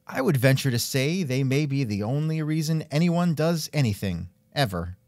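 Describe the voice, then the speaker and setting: A man's voice: mid-range voice, animated, moderate pace, darkish tone, dry recording, studio quality. A man hosting a scripted comedic interview, with a wry, joking manner. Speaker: podcast host and interviewer.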